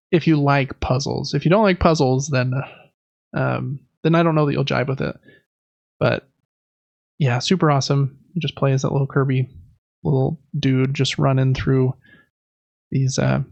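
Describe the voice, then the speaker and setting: A man's voice: a little high, vocal fry, dry recording, lo-fi sound. A man co-hosting a podcast, chatting casually about video games in a rambling, enthusiastic, informal way. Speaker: podcast co-host.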